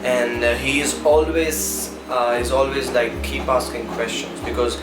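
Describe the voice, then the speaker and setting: A man's voice: medium pitch, steady tone, a little slow. Man from India giving a hesitant testimonial. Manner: hesitant, many fillers. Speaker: yoga student. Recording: echoey, distant, guitar music behind.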